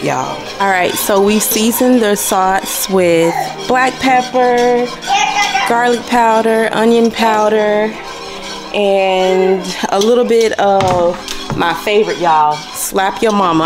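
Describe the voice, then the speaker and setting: A woman narrating a home cooking video in a chatty, casual way, often addressing viewers as y'all. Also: medium pitch. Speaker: cooking vlog host. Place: home kitchen. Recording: music under the voice. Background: background music.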